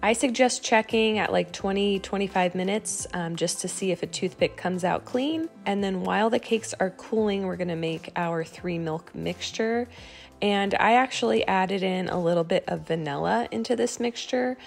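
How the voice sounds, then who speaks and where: A woman's voice: medium pitch, moderate pace, vocal fry, soft background music. An American woman giving a casual recipe voice-over in a conversational, explanatory way, as a home baking vlogger.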